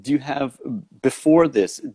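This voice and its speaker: A man's voice: mid-range voice, lively intonation, measured pace, dark tone, slightly rough, no room sound. A man hosting an online interview show, talking with enthusiasm and often repeating words as he goes, over a video call. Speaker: talk show host. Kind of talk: casual interview chat.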